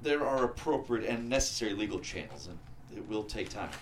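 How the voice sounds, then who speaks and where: A man's voice: on the low side, very animated, fairly quick, slight background noise. A man playing a polished, guarded attorney in his thirties with formal diction, in a screenplay table read. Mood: guarded, firm.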